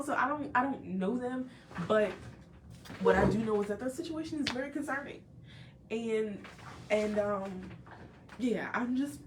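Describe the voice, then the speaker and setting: A woman's voice: medium pitch, slight background noise. A young woman hosting a casual talk for a live audience, nasal and congested from a cold, chatty and earnest with many restarts. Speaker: host. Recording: a little distant from the mic, faint background ambience.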